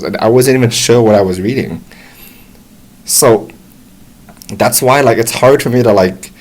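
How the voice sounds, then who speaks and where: A young American man giving relaxed, encouraging advice to English learners, talking casually with frequent restarts. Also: lowish voice. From United States. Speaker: English tutor. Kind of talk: casual talk to camera.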